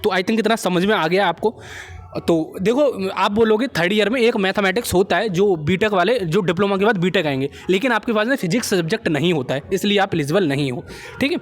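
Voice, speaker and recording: A man's voice: high-pitched, a little fast. A young man with an Indian accent hosting an informal explainer, talking to viewers like friends and often checking that they follow. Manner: chummy, addresses viewers as friends, repeats check phrases. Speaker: job-update video host. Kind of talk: informal explainer of a recruitment notice.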